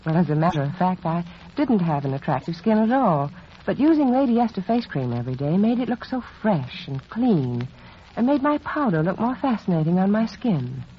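A woman delivers a scripted 1940s radio beauty pitch. She is earnest and confiding, with very varied intonation. The recording is dull, with light noise. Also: very animated, normal speed. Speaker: beauty authority, advertising spokeswoman.

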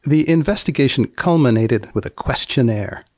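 A man hosting a podcast, asking probing questions and reading narration in a deliberate, deadpan way. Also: mid-range voice. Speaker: podcast host. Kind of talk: scripted narration and deadpan interviewing.

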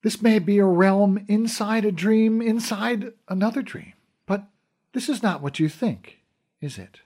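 A man voicing a regal fairy queen who speaks in calm, formal, measured phrases. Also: high-pitched, voice pushed up.

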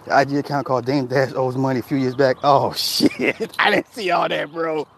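A man's voice: mid-range voice, normal speed, vocal fry, a bit bright, a little hiss or hum. Loose, profane man chatting on stream. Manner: loose, profane storytelling, laughing at chat comments. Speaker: livestream host reading chat. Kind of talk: casual livestream chat.

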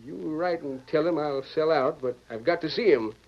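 An American actor playing a gruff, stubborn older stage line owner with a folksy, blunt drawl in an old-time radio Western. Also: male voice, fairly high pitch, normal speed. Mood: stubborn, indignant. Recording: muffled old radio recording.

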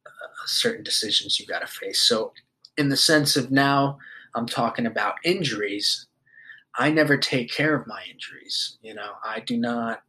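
A young man in his twenties talks straight to his listeners in a loose, rambling monologue. He swears freely and fills his pauses with "you know" and "um". His voice sits at a middling pitch. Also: expressive, sharp tone, rough recording. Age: 20s. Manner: loose and rambling, swears often, many fillers. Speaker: podcast host. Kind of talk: casual monologue.

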